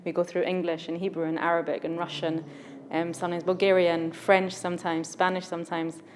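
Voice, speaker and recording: A woman's voice: fairly low pitch, flat delivery, a little fast, slight background noise. A woman teacher speaking earnestly and with enthusiasm about her school in an interview. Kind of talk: interview answers.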